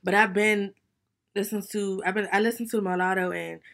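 A young woman co-hosting a podcast with a soft voice, chatting casually about music. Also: mid-range voice, creaky. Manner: fast, relaxed, talks over others. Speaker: podcast co-host.

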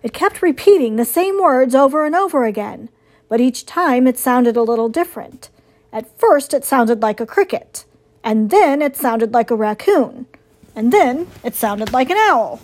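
A woman's voice: high-pitched, expressive, normal speed, darkish tone. A woman voicing a bossy, alarmed and suspicious owl in a storybook, declaiming emphatically. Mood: self-important.

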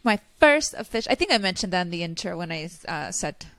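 A woman's voice: lowish voice, big pitch swings, a bit bright, dry recording. Chatty woman podcast host, dry sound. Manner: chatty, laughs often, quick asides. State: slightly stuffy nose. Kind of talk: casual podcast chat.

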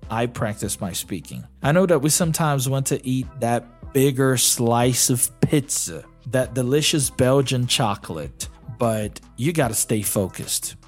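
A man from Brazil with a Brazilian Portuguese accent, hosting a solo podcast and coaching learners in a conversational way, with many "you know"s. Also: medium pitch. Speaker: podcast host and English teacher. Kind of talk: casual solo podcast talk.

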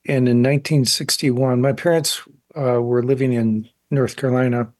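A man's voice: medium pitch, fairly even intonation, moderate pace, darkish tone, no room sound. A male artist who grew up in South Korea, speaking thoughtfully in a radio interview and sometimes restarting sentences. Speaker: guest artist.

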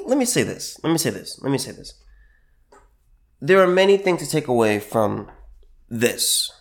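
Man, mid-pitched voice, under the weather, allergies, halting, repeats himself, lots of fillers, slows down for emphasis, solo podcast host. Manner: slows down to stress points.

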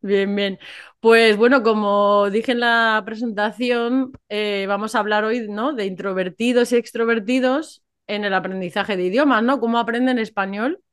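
A woman's voice: a little high, fairly even intonation, normal speed, clean tone. A woman from hosting a casual podcast, chatty and often checking for agreement with little tag questions. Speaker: podcast host.

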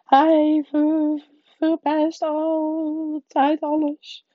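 A woman's voice: high-pitched, monotone, extremely slow, no echo, highs cut off, voice pushed up. A woman voicing a spoiled young boy in a storybook, screeching and whining through fake sobs. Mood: fake crying.

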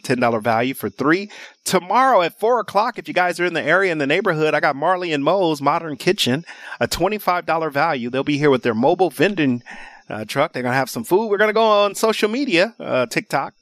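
Lively, upbeat radio shopping host man. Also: fairly high pitch, quick pace, no echo. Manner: upbeat, rattles off deals and repeats the call-in number. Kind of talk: live radio sales pitch. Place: radio studio. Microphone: studio microphone.

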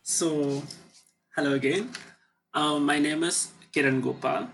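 Young Indian man, interview guest, bright gravelly tone, faint noise behind. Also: on the high side, measured pace. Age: 20s. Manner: hesitant, lots of fillers like "you know". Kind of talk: casual interview talk.

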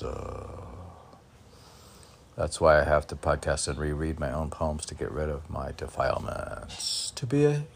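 A man informally reading his poems and commenting on them in a halting, musing way, as if talking to himself. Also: very deep voice, vocal fry, a little hiss or hum. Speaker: poet reading and commenting on own poems for a podcast. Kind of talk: informal poetry reading with rambling self-reflective commentary.

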